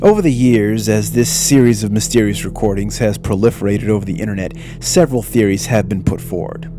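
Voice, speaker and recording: A man's voice: fairly low pitch, a little fast, music in the background. A man reading scripted narration for a mystery documentary.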